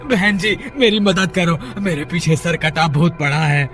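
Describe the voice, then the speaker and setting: Man acting a high-voiced panicky villager, music behind. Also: a little slow, a bit bright. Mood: panicked. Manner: frantic, whiny pleading. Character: panicky, pleading unmarried village man fleeing a ghost. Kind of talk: Hindi horror cartoon character voice.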